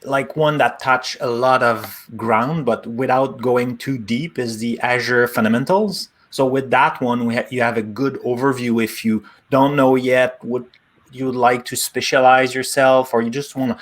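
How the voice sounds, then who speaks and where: French guy co-hosting a tech stream, easygoing, mid-pitched, little english slips. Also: steady tone, measured pace. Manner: friendly and explanatory, with small grammar slips. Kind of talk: casual tech chat. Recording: online stream.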